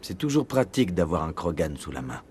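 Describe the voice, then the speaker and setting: An actor playing a resolute, no-nonsense military commander in a video game, terse and businesslike. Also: male voice, deep voice, very animated, fairly quick, a little mellow, slight background noise.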